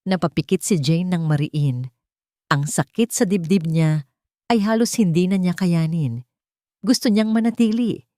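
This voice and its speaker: A woman giving slow, emotive scripted narration of a dramatic love story. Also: low-pitched, warm tone, no echo, studio quality.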